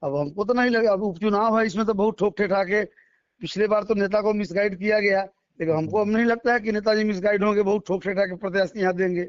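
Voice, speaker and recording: A dull, lo-fi phone recording of a man with a distinctly high voice and a Bihari accent. He talks in an emphatic, colloquial way, repeating himself as he makes his political points. The sound is close and dry. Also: fast, smooth, no room sound. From Bihar, India. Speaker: local politician being interviewed. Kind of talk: spontaneous political phone interview. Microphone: phone call.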